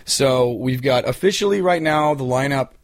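A man in his thirties with an average-pitched voice talks as a guest in a casual interview. He rambles excitedly through his stories and swears freely. The sound is dry, with hardly any room on it. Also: moderate pace, no echo. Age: 30s. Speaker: guest, independent filmmaker and actor.

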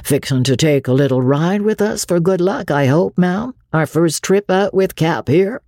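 Woman doing a soft-spoken weathered old showman. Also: fairly low pitch, expressive, normal speed, no echo, clean studio sound, pitched above the performer's own voice. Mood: courteous. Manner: drawling, courteous. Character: weathered male showman, about fifty-five, soft-spoken.

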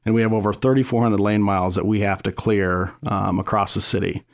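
A man's voice: fairly low pitch, fairly even intonation, brisk. A man from the city answering radio interview questions in a studio, explaining plainly with many fillers and restarts. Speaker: city street operations official.

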